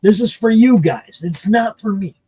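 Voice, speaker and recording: Man, early sixties, high voice, soft mellow tone, casual show host chat, repeats himself. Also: normal speed. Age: early 60s. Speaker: streaming network host.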